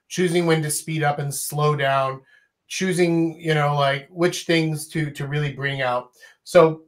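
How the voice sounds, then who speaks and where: Emphatic man, slightly high voice. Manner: emphatic, demonstrates phrasing with slow spaced-out examples. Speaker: host and dating coach. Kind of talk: livestream advice talk. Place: home room with a couch and guitars. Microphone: studio mic on a stand.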